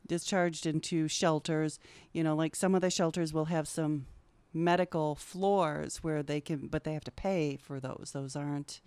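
A woman's voice: sits low, steady tone, unhurried, hardly any pauses, a little mellow, clear, resonant tone, dry recording. A middle-aged woman talking candidly and informally as a guest in a conversational podcast interview. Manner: candid, informal, swears casually. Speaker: podcast guest, social worker.

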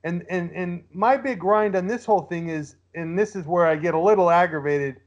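The audio is dull and lo-fi, with a man speaking in a distinctly high register. He talks casually as an opinionated podcast guest, arguing through rhetorical questions and quoting imagined people. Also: normal speed.

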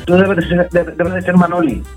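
A man's voice: high voice, flat delivery, fairly quick, music in the background. Nervous stammering young man. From Madrid, Spain. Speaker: new radio intern.

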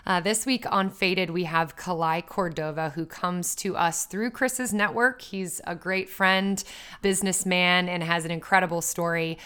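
A woman's voice: on the low side, measured pace, fairly bright tone, smooth. A woman hosting a podcast, upbeat and chatty, with plenty of filler words as she introduces and questions a guest. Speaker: podcast host. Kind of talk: casual podcast interview.

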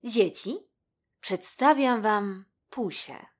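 A woman's voice: mid-range voice, extremely slow, voice pushed up. A woman voicing a kindly female spider schoolteacher in a children's story, polite, firm and encouraging. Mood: polite, instructive.

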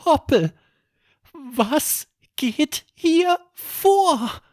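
A man's voice: extremely high pitch, sing-song, extremely slow, darkish tone, dry recording, studio quality, voice pushed up, exaggerated voice. A man voicing a curious, easily startled young boy in a children's bedtime story. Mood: bewildered.

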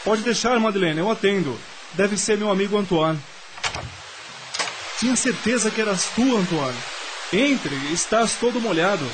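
A man's voice: high voice, moderate pace, pauses often, background noise, natural voice. A man acting in a radio drama as an eager, curious nineteenth-century French nobleman, with formal old-fashioned diction. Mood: welcoming.

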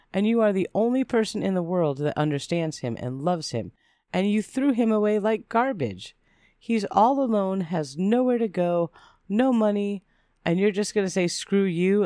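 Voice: mid-range voice, mellow, no room sound, clean studio sound, voice pushed up. A narrator voicing a lovesick, guilt-tripping inner voice, dramatic and accusing, in a personal story.

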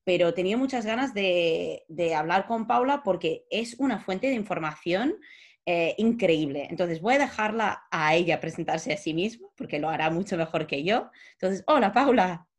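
A woman's voice: lowish voice, animated, normal speed. A woman hosting a podcast, introducing her guest and asking friendly, curious questions. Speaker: podcast host. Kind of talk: podcast interview.

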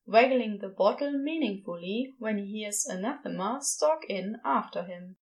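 A woman's voice: mid-range voice, lively intonation, normal speed, highs cut off. A woman reading scripted fiction aloud as the narrator of an audio drama.

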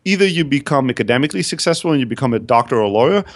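A man from Greece tells his story as a guest on a podcast. He talks with candid, energetic self-deprecation. His voice sits at a middle pitch. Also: very fast. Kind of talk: casual interview storytelling.

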